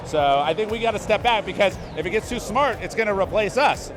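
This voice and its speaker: A man's voice: high-pitched, fairly quick. A man giving a casual event interview, upbeat and emphatic, often repeating his points. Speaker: interview guest. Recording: music playing loudly behind.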